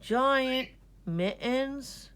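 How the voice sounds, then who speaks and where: Woman as a whiny, slow young boy groundhog. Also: sits high, fairly bright tone, voice pushed up, full character voice. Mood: groggy, grumpy.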